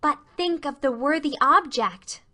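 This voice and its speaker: Woman acting Anne, bright gushing schoolgirl. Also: high-pitched, unhurried, voice pushed up. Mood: eager pleading. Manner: gushing, dramatic. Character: Anne, earnest, romantic, effusive schoolgirl. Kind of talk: dramatized audiobook acting.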